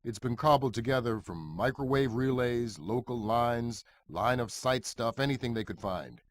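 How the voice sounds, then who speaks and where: An actor playing a brisk, matter-of-fact intelligence commander giving a mission briefing in a video game. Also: male voice, mid-range voice, lively intonation, no room sound, natural voice. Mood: businesslike. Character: businesslike male intelligence commander briefing an agent.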